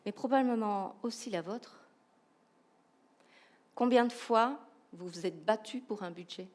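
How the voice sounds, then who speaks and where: A woman with a Belgian French accent giving a humorous, animated keynote talk, asking the audience rhetorical questions. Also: mid-range voice, measured pace. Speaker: keynote speaker, professional coach.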